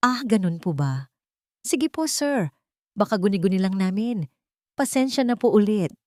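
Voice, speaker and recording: A woman's voice: medium pitch, animated, moderate pace, dark tone, dry recording, clean studio sound, voice pushed up, lightly acted. A woman voicing a polite, worried female coworker with a Filipino accent and an apologetic, deferential manner in a story.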